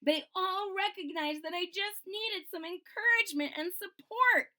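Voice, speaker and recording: A woman voicing a nervous little male bean with a fretful, quavering manner and shrill cries. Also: normal speed, bright, forceful tone, full character voice. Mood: relieved.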